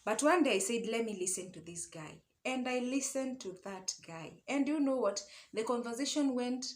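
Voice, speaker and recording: Young kenyan lady, highish voice, chatty storytime, flips between english and swahili. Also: normal speed. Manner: animated, conversational, often checks in with short tag questions. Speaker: online storyteller and love coach. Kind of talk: casual personal storytelling.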